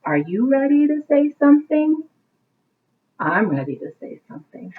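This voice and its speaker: A woman's voice: high-pitched, sing-song, normal speed, slight background noise. A woman reading a picture book aloud to children like a teacher, with encouraging asides that explain things simply. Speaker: teacher reading a bedtime story. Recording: thin, phone-like narrowband sound.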